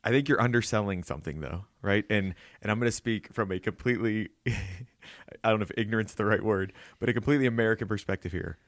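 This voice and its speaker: A man's voice: fairly low pitch, fast talker, dry recording, clean studio sound. A man from southwestern Pennsylvania with a western Pennsylvania accent, hosting a casual interview in a friendly, chatty way. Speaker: podcast host.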